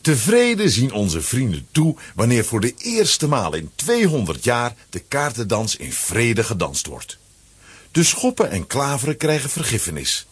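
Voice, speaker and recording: A man with a husky voice narrating a children's audio play as a dramatic scripted story. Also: medium pitch.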